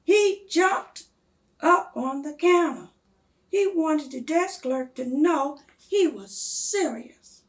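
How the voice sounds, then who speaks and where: A woman reading a storybook aloud to children in a deliberate, expressive manner. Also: high-pitched. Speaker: read-aloud guest reader.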